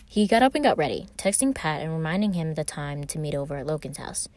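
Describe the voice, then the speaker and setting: A woman narrates scripted fiction. Her voice sits low for a woman. The recording is dry, with little room sound. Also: animated, fast talker, darkish tone, no echo. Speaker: fan fiction reader.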